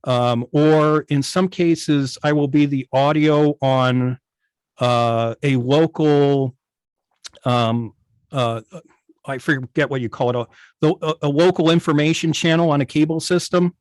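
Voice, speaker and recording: A man explaining his work informally on a video call, in a halting, detailed way. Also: on the high side, steady tone, a little mellow, clear, resonant tone, dry recording. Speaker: guest, radio station operator. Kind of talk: informal explanation in an online club meeting.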